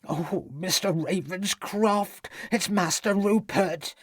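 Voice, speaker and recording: A man's voice: medium pitch, a little fast, darkish tone, breathy edge, no echo, studio quality, close to an everyday voice. A man putting on a fretful tearful female cook. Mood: agitated. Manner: anxious, quavering, stammering pleas.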